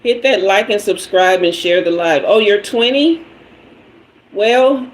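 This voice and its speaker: A woman in her fifties hosts her own tarot livestream, greeting viewers one by one and urging them to send emojis. She talks at a slow, unhurried pace and sometimes drifts away from the mic. A faint hum of background noise sits under her voice. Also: mid-range voice, low-quality recording. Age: 50s. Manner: chatty and playful, greeting viewers and asking for emojis. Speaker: tarot reader hosting a livestream. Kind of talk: casual livestream chat with viewers.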